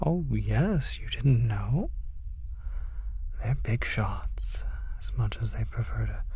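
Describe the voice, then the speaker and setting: Man acting a slow, breathy, teasing demon. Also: mid-range voice, warm tone, full character voice. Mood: amused, teasing. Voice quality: breathy, creaky. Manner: teasing, condescending, theatrical, drawn-out. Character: ageless teasing male demon, smug and condescending. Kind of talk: intimate audio roleplay acting.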